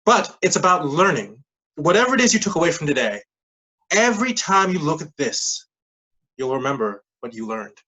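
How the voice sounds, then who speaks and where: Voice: medium pitch, sing-song, moderate pace, bright, forceful tone, slightly rough, heavily acted, poor audio. An actor playing a stubborn, patronizing leader of a money game in a staged play reading. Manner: patronizing, defensive. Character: condescending, stubborn game leader refusing refunds.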